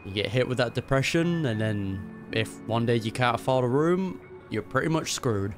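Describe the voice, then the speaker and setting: A man's voice: mid-range voice, expressive, moderate pace, music in the background. A man giving casual reaction commentary in an informal, musing, conversational way. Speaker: video game reactor.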